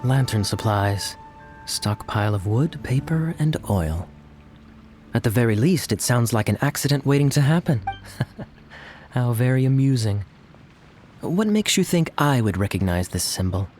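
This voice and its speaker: Man acting a suave, highly animated young knight. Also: a little slow, long pauses, soft background music, close to an everyday voice. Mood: sly amusement. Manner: smooth-talking, teasing, theatrical. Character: suave, teasing young knight with sly amusement. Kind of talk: video game character acting.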